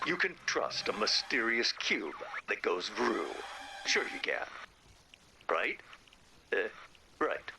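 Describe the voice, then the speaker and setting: A man's voice: fairly low pitch, very animated, takes it slowly, vocal fry, pauses often, background noise, full character voice. A man playing a nervous, chatty, well-meaning video game character with a wry, hesitant delivery. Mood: uneasy, doubtful.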